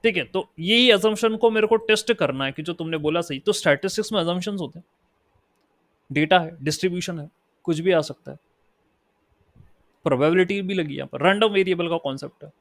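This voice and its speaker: A man with an Indian accent teaching a statistics lesson. He explains in Hindi and reads definitions out slowly in English. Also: sits high, no echo. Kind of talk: lecture.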